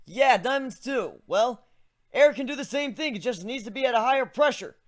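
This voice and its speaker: A man voicing a young male griffin mage who lectures excitedly and chants spell words slowly. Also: very high-pitched, voice pushed up. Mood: eager explaining. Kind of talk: dramatic fan reading.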